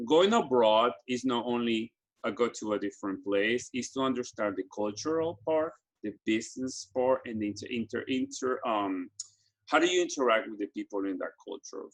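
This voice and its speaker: Earnest Ecuadorian-born man, halting delivery. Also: mid-range voice, poor audio. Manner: halting, frequent restarts and fillers, earnest. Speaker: business school program staff presenting study abroad. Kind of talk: presentation to students with slides.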